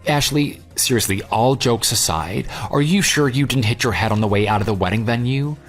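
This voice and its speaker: A man's voice: medium pitch, moderate pace, natural voice. A man playing a self-assured, unyielding jilted groom who leads and narrates an audio drama in a dry, sarcastic manner. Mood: firm, mocking. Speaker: narrator and lead character. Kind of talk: audio drama dialogue and first-person narration. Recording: music bed under the voice. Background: background music.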